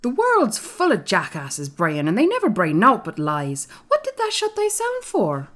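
A woman's voice: medium pitch, wildly swooping pitch, a little slow, fairly bright tone, pitched above the performer's own voice. A woman voicing a crabbed but tender old male gardener with a Yorkshire accent, dry and shrewd, in a storybook. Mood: scornful.

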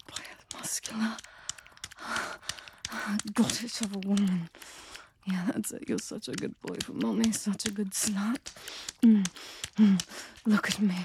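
A woman's voice: medium pitch, noisy background. A young adult woman performing an intimate scripted audio roleplay close to the microphone, commanding and teasing, often dropping to a whisper. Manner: soft and gentle near the end.

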